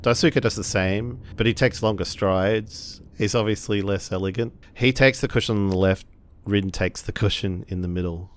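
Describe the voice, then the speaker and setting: A man with a lowish voice takes part in a tabletop roleplaying game. He casually describes what his characters do, as a player. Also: normal speed, a little hiss or hum. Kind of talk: narrating characters' actions in casual game play.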